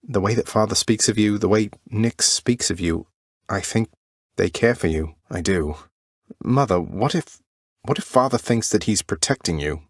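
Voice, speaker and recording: A man playing an earnest, defiant young prince of the underworld in a video game, speaking in formal, old-fashioned wording with halting, heartfelt delivery. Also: on the low side, dry recording, clean studio sound. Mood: searching, gentle. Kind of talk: video game character dialogue, dramatic acting.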